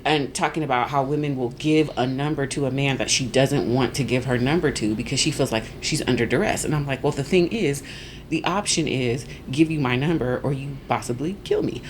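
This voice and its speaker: Woman, 40s, low voice, animated podcast guest storyteller, light background noise. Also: a little fast. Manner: animated storyteller, acts out voices, laughs often. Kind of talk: casual storytelling chat.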